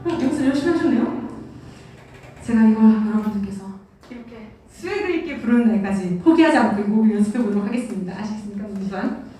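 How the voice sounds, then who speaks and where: A woman's voice: medium pitch, fast, warm tone. A young woman leading youth church worship in a casual, playful, self-deprecating way, with a sore throat. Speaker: youth church praise leader and teacher. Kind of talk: casual talk to teenagers between worship songs. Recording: very echoey room, distant mic, faint music.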